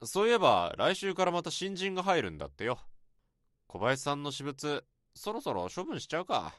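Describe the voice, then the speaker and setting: A rough-talking senior office worker in a radio drama, bossy and quick to lecture. His voice sits a little high and leaps widely in pitch. The sound is dry and close. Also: big pitch swings, fairly bright tone, dry recording. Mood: brusque. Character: gruff blunt senior office worker man. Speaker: senior coworker.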